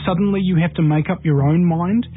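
A man's voice: high voice, fairly even intonation, brisk, faint music behind. A man from New Zealand, a radio host appearing as a guest, talking in an impassioned and rousing way on talk radio. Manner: combative, rousing, rhetorical. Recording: tinny phone line, dry.

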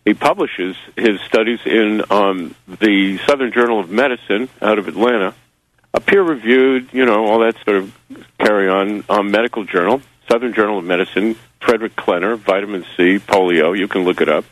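A man who publishes a magazine, guesting on a radio interview and rambling through halting answers full of fillers and wry asides. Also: fairly low pitch, no room sound, rough recording. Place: New Zealand.

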